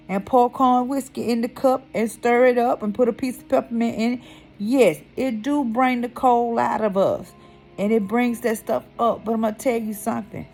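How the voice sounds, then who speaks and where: Blunt, cussing woman with a gravelly edge. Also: a little high, a little mellow. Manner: blunt, heated, swears freely, repeats for emphasis. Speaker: vlogger. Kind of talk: casual ranting vlog.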